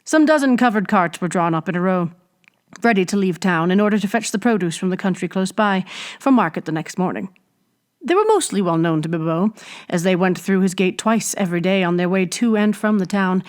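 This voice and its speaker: A woman reading a classic adventure novel aloud as an expressive storybook narrator. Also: medium pitch, fairly quick. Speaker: audiobook reader.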